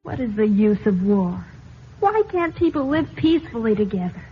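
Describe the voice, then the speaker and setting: A woman's voice: medium pitch, sing-song, normal speed, faint music behind, natural voice. An actress playing an earnest, idealistic teenage girl in hiding, in the style of 1950s radio drama. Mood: earnest, questioning. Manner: earnest, questioning, impassioned. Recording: muffled, noisy 1950s radio recording.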